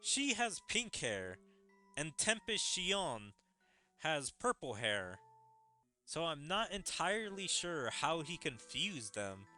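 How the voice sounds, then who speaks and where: A man's voice: on the high side, very animated, slow pace, sharp tone, faint music behind. A man giving casual game commentary as a streamer, musing and speculating as he goes. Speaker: gaming streamer.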